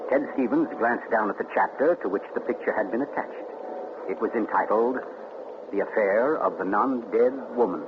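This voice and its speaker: An actor playing an urbane, theatrical mystery writer who tells his tale with deliberate, dramatic pauses in 1940s radio style. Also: fairly low pitch, natural voice. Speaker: actor and narrator. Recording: muffled, noisy 1940s radio recording.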